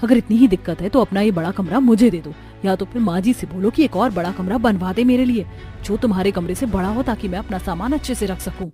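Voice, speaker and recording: A woman's voice: medium pitch, fast talker, mellow, soft background music, close to an everyday voice. A woman voicing an aggrieved, argumentative young daughter-in-law in a Hindi family cartoon, with a nagging, indignant manner.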